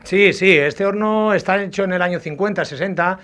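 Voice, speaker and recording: Higher-pitched man with Alicante accent, masked. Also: a bit bright. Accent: southeastern Spanish, Alicante. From Agost, Alicante province, Spain. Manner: halting, repeats words, frequent tag questions. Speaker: artisan potter, interviewee. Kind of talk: informal on-location explanation. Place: indoors in old pottery workshop and brick kiln. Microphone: handheld reporter's microphone.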